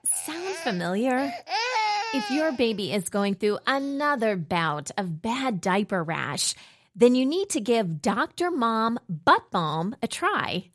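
Lively woman reading an ad. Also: on the high side, takes it slowly. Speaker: ad reader. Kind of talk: read advertisement.

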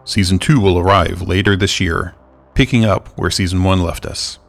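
Gravelly, low male podcast announcer. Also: fairly even intonation, normal speed. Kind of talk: scripted narration. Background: music.

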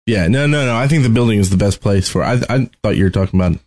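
A man's voice: fairly low pitch, expressive, fast talker, darkish tone. A man co-hosting a podcast, chatting casually about video game strategy. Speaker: podcast co-host.

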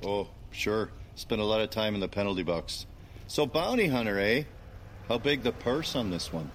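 An actor playing an affable, over-polite Canadian husband with a Canadian accent in a comedy audio drama. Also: male voice, fairly low pitch, very animated, normal speed, slight background noise. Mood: chummy. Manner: folksy, chummy, over-polite. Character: affable folksy Canadian husband, chummy and hockey-mad.

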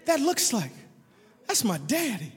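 A man voicing an excited young daughter who stammers as she calls out that her father has come home. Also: very high voice, unhurried, big-room sound, pitched below the performer's own voice, heavily acted. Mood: amazed.

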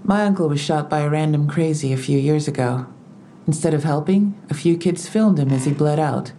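An actress playing a composed, wry police investigator in an audio drama, speaking in a dry, measured, matter-of-fact way. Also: female voice, low-pitched, mellow, a little hiss or hum, natural voice. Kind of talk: full-cast audio drama acting.

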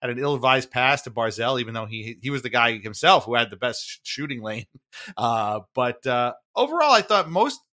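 A man's voice: medium pitch, big pitch swings, moderate pace, pushed and bright. A man hosting a sports podcast, casually recapping in a conversational, wry way with frequent hedging. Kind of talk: casual hockey game recap chat.

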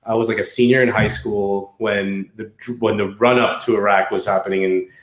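Lowish-voiced man in his thirties, echoey room. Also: steady tone, normal speed. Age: 30s. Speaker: podcast guest. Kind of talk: casual chat, anecdotal. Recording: roomy echo.

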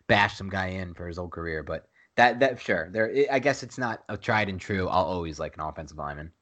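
A man's voice: fairly low pitch, a bit bright. A man with a nasal voice talking casually and emphatically as co-host of a sports podcast, repeating himself for emphasis.

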